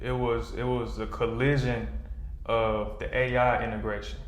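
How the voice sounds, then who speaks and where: Guy chatting in an interview, relaxed wording, echoey room, keeps checking you follow. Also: medium pitch, moderate pace. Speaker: filmmaker and actor, podcast guest. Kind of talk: casual interview conversation. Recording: roomy, echoing room sound.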